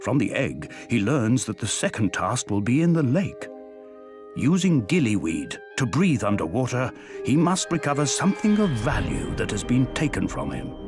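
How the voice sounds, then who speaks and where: A man's voice: medium pitch, big pitch swings, moderate pace. A man reading scripted story narration for a video game. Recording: faint music behind the narration. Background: soft background music.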